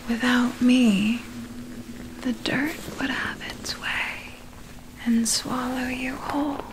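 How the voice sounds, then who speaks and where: Woman performing ASMR vampire, breathy whisper, fairly high voice, coolly possessive, hypnotic, drawn-out delivery. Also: poor audio. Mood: seductive, coaxing. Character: predatory vampire captor, coolly possessive, menacing yet tender. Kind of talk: ASMR roleplay.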